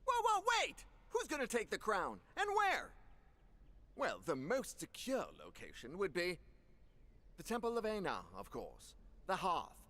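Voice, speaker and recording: An excitable lord from a fantasy video game. His voice is high and forceful, with wildly swooping intonation. He argues with indignant bluster. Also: measured pace, full character voice. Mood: agitated, indignant.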